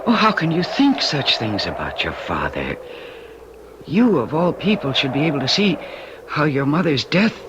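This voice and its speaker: A muffled, noisy vintage radio recording carries a sympathetic young woman. Her delivery is theatrical and very lively in pitch, taken at a slow, deliberate pace. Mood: concerned, pleading. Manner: expressive, theatrical, unhurried. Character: kind, sympathetic young woman guest in Spain. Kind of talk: old-time radio drama acting.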